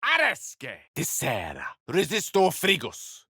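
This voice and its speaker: A man's voice: on the high side, huge pitch swings, unhurried, bright, forceful tone, slightly rough, no echo, studio quality, full character voice. An actor playing a learned, wry male human wizard in a fantasy video game, speaking in an articulate, theatrical and bookish way. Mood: commanding incantation. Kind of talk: fantasy game character lines and narration.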